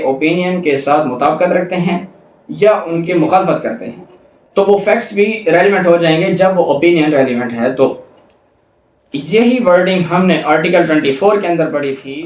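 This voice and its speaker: A man with a Pakistani accent giving an explanatory law lecture in a didactic way, reading statutes aloud and rephrasing each point. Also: high-pitched. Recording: echoey room.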